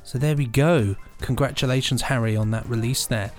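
A man's voice: medium pitch, fairly quick, faint music behind. A man presenting news casually as a podcast host, with an encouraging manner.

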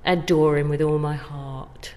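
A woman's voice: low-pitched, level tone, unhurried, a little mellow. Effusive woman in a very echoey stairwell. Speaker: guest actor being interviewed. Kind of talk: casual interview chat. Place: indoor stairwell.

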